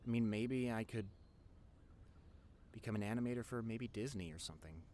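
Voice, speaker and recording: A man's voice: lowish voice, normal speed, a little mellow, a little hiss or hum, lightly acted. A man playing a nerdy, introverted young boyfriend in an intimate audio roleplay, earnest and rambling with a nervous stammer. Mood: earnest, wistful. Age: young adult. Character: nerdy introverted young boyfriend, wacky, tender, passionate artist.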